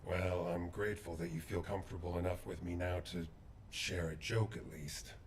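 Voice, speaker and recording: A steady male soldier from a video game, voiced by a man, speaking in a calm, measured, earnest way. His voice sits very low. Faint noise runs underneath. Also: close to an everyday voice.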